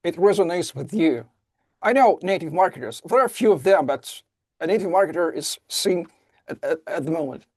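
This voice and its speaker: A man who speaks Russian natively hosts a podcast interview, enthusiastic and a little halting in his phrasing. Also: fairly high pitch, expressive, a little mellow, no echo, studio quality. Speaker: podcast host. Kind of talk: casual interview hosting.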